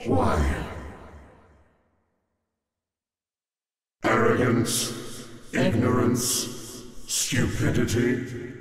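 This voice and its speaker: A man voicing an ancient all-consuming void entity in a video game, speaking solemn, ominous and contemptuous pronouncements. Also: mid-range voice. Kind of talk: scripted video game villain monologue. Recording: distant voice in long cavernous reverb.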